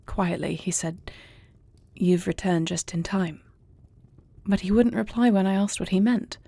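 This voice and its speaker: A close, dry recording of an earnest young warrior princess, acted by a woman in an audio roleplay. Her voice is mellow with a creaky edge, and she speaks softly in formal, royal phrasing, anxious yet tender. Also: medium pitch, unhurried, dry recording. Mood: worried, uneasy. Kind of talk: intimate audio roleplay acting.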